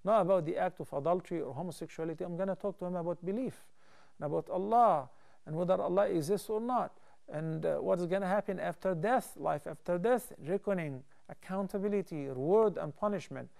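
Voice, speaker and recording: A man in his thirties, a studio TV scholar, answering callers' questions with calm, measured explanations into a lapel mic. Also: sits high, creaky. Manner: calm, measured, explains step by step with hand gestures. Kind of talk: studio call-in Q&A advice.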